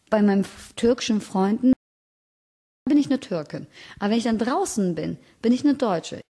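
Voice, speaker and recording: A woman in her thirties from Germany gives a documentary interview. Her voice is mellow and warm. She speaks thoughtfully and leans on key words for emphasis. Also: mid-range voice. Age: 30s.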